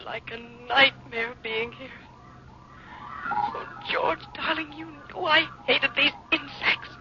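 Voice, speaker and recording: An actress playing a terrified scientist's wife in a 1950s radio drama, speaking in breathless, melodramatic, halting phrases. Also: female voice, sits high. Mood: haunted dread. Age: adult. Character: terrified scientist's wife, trapped with giant insects. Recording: muffled, noisy vintage radio recording.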